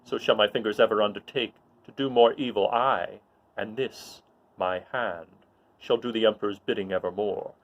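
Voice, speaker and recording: A man voicing a menacing, brooding dark lord, declaiming Shakespearean verse in a commanding manner. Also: lowish voice, steady tone, normal speed, natural voice. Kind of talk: dramatic reading of mock-Shakespearean verse.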